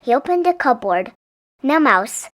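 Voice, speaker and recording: A woman's voice: sits high, very animated, measured pace, fairly bright tone. A woman reading a picture book aloud expressively for young children. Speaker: storybook reader.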